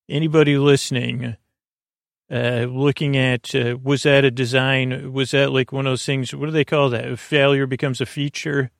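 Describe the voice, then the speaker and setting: A low-voiced American man with a creaky, gravelly edge, recorded close and dry. He hosts a bedtime podcast, wandering haltingly from one tangent to the next as he eases listeners toward sleep. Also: dry recording. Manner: meandering, halting, goes off on tangents. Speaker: podcast host. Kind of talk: rambling bedtime monologue to help listeners fall asleep.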